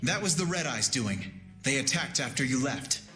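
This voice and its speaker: An actor playing a serious, dutiful young knight in a video game, speaking in a formal, measured way. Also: male voice, medium pitch, animated, pushed and bright. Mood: serious, resolute. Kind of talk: dramatic video game voice acting. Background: background music.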